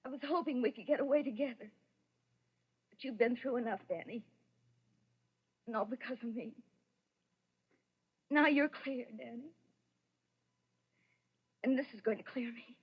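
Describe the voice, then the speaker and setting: A woman's voice: on the high side, unhurried, long pauses, breathy edge. An actress playing a desperate, lovestruck woman with a deadly secret, pleading emotionally in 1940s radio melodrama. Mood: tender despair. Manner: breathless, pleading, intensely emotional. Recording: dull vintage radio recording.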